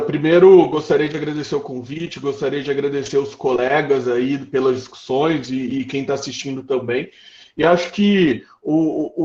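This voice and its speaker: Male panelist, fairly high voice, roomy and muffled call sound, measured. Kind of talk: closing remarks on a webinar panel.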